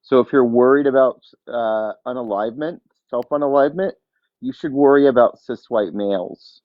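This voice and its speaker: A man's voice: medium pitch, moderate pace, no room sound. Matter-of-fact man, dull dry audio. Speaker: livestream guest. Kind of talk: casual debate.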